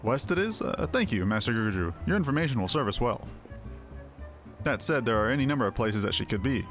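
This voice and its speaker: A man's voice: mid-range voice, lively intonation, fairly quick, faint music behind, natural voice. A man voicing a suave, capable male adventurer in a fantasy game, polite and composed. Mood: polite, purposeful. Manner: polite, composed, smooth-talking.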